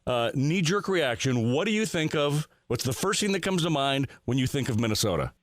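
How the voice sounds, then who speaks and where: Upbeat man hosting a radio call-in segment, fast and joking, dry studio sound. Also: fairly high pitch, sing-song, no echo. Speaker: radio host. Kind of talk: casual morning radio chat.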